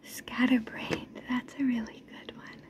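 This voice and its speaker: A woman's voice: a little high, level tone, a little slow. Whispering woman repeating trigger words. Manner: gentle, playful, soothing. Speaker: ASMR creator. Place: indoors at home.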